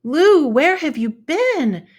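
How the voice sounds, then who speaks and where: A woman's voice: sits high, sing-song, takes it slowly, voice pushed up, full character voice. A woman voicing a friendly, caring female ladybug who urges her friend along in a children's storybook read-aloud. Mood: concerned.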